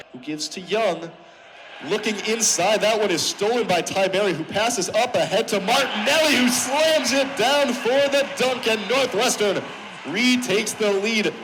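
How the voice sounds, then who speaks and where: A young man with a high-pitched voice calls basketball on the radio. He describes each play in running detail and swells on the big moments, over light background noise from the game. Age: 20s. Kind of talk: live radio sports commentary. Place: courtside at basketball games.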